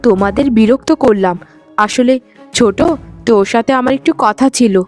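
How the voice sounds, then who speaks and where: A woman voicing a gentle, dutiful sister-in-law in a cartoon village family drama, speaking patiently. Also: fairly high pitch, normal speed, faint music behind, close to an everyday voice. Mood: apologetic. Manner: patient, conciliatory.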